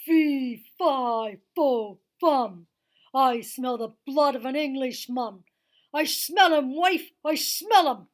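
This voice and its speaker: A woman voicing a hungry, man-eating male ogre who chants and mutters menacingly in a children's puppet show. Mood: suspicious. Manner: slow, booming chanting and muttering.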